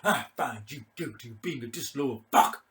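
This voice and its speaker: A man's voice: mid-range voice, animated, normal speed, a bit bright, echoey room, close to an everyday voice. A man voicing a drunk, domineering, foul-mouthed middle-aged Marine commander in a performed short story. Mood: barking, enraged. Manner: barking, domineering, profane.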